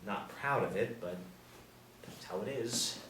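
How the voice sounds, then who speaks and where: A man's voice: lowish voice, measured pace, fairly bright tone. A man, apparently middle-aged, playing an awkward, apologetic nerdy man at a script reading, hesitant and stammering. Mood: sheepish. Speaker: actor at a screenplay table reading. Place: indoor room, seated. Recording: echoey room, distant mic, faint noise.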